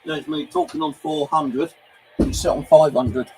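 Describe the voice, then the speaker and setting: A man's voice: on the high side, wildly swooping pitch, unhurried, creaky, a little mellow, slight background noise, low-quality recording. A man hosting a paranormal investigation, speaking informally as he comments and calls out questions. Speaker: ghost-hunting channel host. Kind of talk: casual spoken commentary, calling out to spirits.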